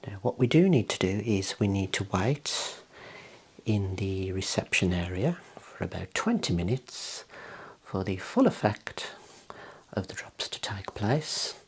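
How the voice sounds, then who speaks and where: Man, very low pitch, varied intonation, soft breathy half-whisper, reassuring clinical ASMR role play. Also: very animated, measured pace. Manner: gentle, procedural, reassuring instructions. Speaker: ASMR host playing an eye clinician.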